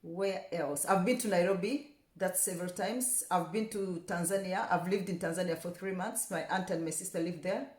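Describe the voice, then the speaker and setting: A woman's voice: lowish voice, normal speed, darkish tone. A young woman from Rwanda talking casually to camera, chatty and repeating words for emphasis, turning passionate. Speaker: vlogger. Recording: echoey room.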